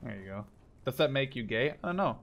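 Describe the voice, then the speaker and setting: A man in his twenties from the Netherlands, chatting casually and joking with a friend while playing a shooter game, with sudden excited shouts. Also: medium pitch, brisk, creaky. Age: 20s. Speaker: gaming channel host. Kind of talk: casual gameplay chat with a friend.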